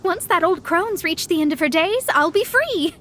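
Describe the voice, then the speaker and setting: High, dramatic young female astrologer. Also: animated, moderate pace, a bit bright. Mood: flustered. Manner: theatrical, haughty, easily flustered. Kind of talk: video game voice acting.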